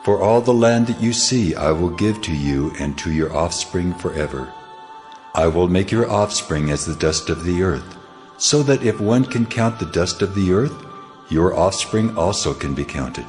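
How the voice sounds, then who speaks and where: A solemn God voiced by a man in a scripture audio drama. The voice is very deep and commanding, proclaiming in measured phrases over faint music. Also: expressive, a little mellow. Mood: solemn, promising.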